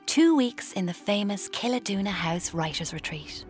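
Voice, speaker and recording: An actress playing a dreamy, self-deluded young Irish woman narrating her own story in a breathy, confiding, languid way for radio drama, with music underneath. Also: mid-range voice, expressive, normal speed, lightly acted. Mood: wry, confiding. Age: young adult. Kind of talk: intimate first-person radio drama narration.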